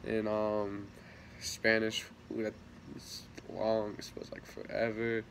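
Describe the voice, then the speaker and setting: A man's voice: fairly low pitch, completely flat delivery, takes it slowly, a little hiss or hum. Rambling teenage guy telling a story. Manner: rambling, many fillers and restarts, soft laughs. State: a little sick. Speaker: vlogger. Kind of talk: casual storytelling vlog.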